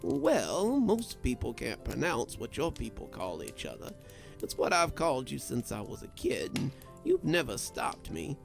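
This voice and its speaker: A man's voice: mid-range voice, wildly swooping pitch, unhurried, vocal fry, soft background music, exaggerated voice. Man doing a wry good-humored old grandpa. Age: older.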